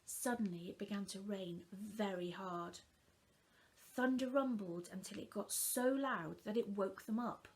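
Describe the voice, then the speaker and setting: A woman reading a children's story aloud in a deliberate, expressive storytelling manner. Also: medium pitch, low-quality recording.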